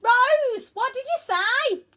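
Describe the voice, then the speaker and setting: A woman voicing a nervous, anxious young boy hero in a storybook, often shouting. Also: very high-pitched, expressive, slow pace, voice pushed up, full character voice. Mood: panicked shouting.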